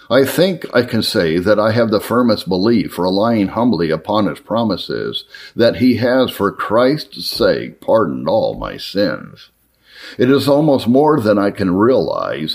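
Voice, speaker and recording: Low-voiced man reading with lively intonation. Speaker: narrator reading historical religious writings. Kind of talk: reading aloud an old formal letter.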